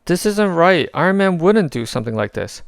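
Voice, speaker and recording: A man's voice: a little high, big pitch swings, brisk, vocal fry, clear, resonant tone, dry recording, clean studio sound, pitched above the performer's own voice. A man voicing a direct, level-headed alien warrior woman in a children's story, firm and succinct. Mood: concerned.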